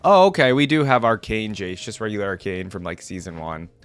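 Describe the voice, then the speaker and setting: A man's voice: fairly low pitch, big pitch swings, brisk, music in the background. A man hosting a reaction video, chatting informally and thinking aloud as he watches. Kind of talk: casual live reaction commentary.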